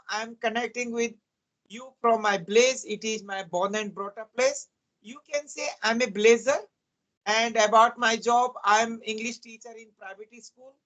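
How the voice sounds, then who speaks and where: A man's voice: high-pitched, pushed and bright, rough recording. A man from Uttarakhand with an Indian accent, a native Hindi speaker, talking politely and formally as the guest in an English practice chat. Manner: polite and formal, often says "sir".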